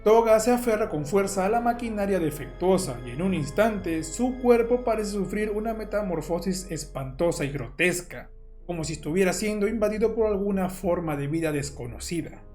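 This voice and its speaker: A man's voice: sits high, lively intonation, normal speed, darkish tone. A man reading scripted, documentary-style horror narration as the narrator. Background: music.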